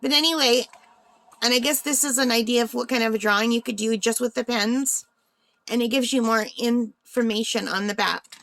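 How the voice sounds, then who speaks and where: A woman's voice: a little high, moderate pace, creaky, fairly bright tone, poor audio. A woman hosting a craft unboxing vlog in a casual, chatty way, thinking aloud, with a slightly tired, under-the-weather voice. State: slightly unwell, voice tiring. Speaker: craft channel host.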